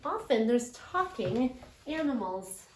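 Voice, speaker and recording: Lady teacher talking to little kids, takes it real slow, asks stuff then confirms, roomy echo. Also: on the high side, sing-song, darkish tone, a little hiss or hum. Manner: interactive, asks questions and affirms imagined answers. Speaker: elementary English language development teacher. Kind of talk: children's read-aloud lesson. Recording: roomy, echoing sound.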